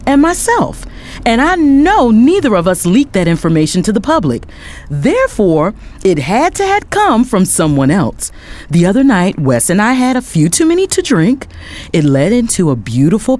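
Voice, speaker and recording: Casting: actress as indignant female lawyer narrating an audio drama, lowish voice, highly animated, slight background noise. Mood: hurt, indignant. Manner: expressive, dramatic. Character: indignant woman lawyer, wealthy politician's daughter, narrating her betrayal. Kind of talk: first-person dramatic narration.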